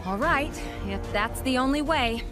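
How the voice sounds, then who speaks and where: A woman playing a confident, quippy superhero team leader in a video game, brisk and wry. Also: sits high. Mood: businesslike. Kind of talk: animated video game hero acting. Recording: loud music behind the voice.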